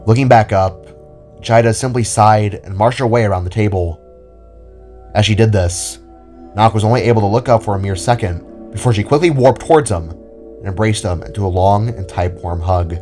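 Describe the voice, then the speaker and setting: Male narrator, low register, scripted storytelling, music bed underneath. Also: normal speed, dark tone. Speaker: narrator of an audio drama.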